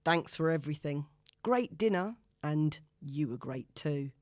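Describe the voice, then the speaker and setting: A woman doing a smooth, glib, noncommittal man. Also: low-pitched, animated, a little slow, darkish tone, dry recording, clean studio sound, voice pushed down. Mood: smooth, flirtatious. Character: smooth, breezy, noncommittal man brushing off his date. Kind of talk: audiobook character dialogue.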